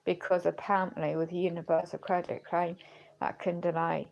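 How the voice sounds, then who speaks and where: A woman livestreaming in a rambling, halting way, earnest and questioning, her voice going as if she is unwell. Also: lowish voice, little pitch movement, vocal fry, darkish tone, lo-fi sound, slight background noise. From United Kingdom. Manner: halting, searching for words. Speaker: live streamer. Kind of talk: rambling personal livestream talk.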